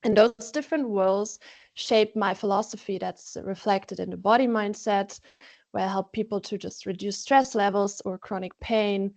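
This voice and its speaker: A woman with a German accent presents on a video call. She sounds friendly and upbeat, and she pauses on small fillers as she speaks English as her second language. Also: medium pitch, gravelly, darkish tone. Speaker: webinar presenter, stress coach. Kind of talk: webinar self-introduction. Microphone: video call from home.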